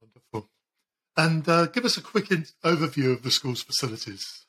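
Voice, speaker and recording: A man's voice: a little high, lively intonation, moderate pace, husky. A man hosting a podcast, upbeat and welcoming as he introduces the episode and asks the questions. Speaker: podcast host. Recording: intro music loud behind voice.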